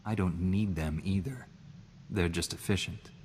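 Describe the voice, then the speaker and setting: An actor playing a stern knight in a video game, answering with curt, dry comebacks. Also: male voice, sits low, measured pace, darkish tone, in a large hall, a little hiss or hum. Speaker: player character in a video game. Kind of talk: video game character dialogue.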